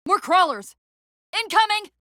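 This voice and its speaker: A woman voicing an urgent, clever military AI companion in a video game, wry and earnest, giving crisp tactical briefings with an undercurrent of strain. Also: very high-pitched, lively intonation, a little slow, bright, forceful tone, heavily acted. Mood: urgent, alarmed.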